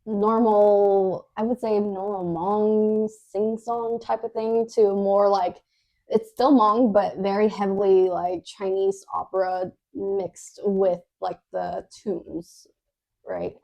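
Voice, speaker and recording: A woman's voice: medium pitch, little pitch movement, takes it slowly, mellow. A woman co-hosting a podcast, telling her story in a casual, hesitant way, with many fillers, restarts and little laughs. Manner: laughs often while talking. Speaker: podcast co-host.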